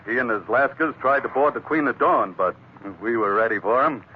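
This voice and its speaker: An actor playing a loyal, plain-spoken, wry first mate in a 1940s radio drama. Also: male voice, mid-range voice, lively intonation, brisk, close to an everyday voice. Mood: steady, urgent. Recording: muffled, hissy 1940s radio recording.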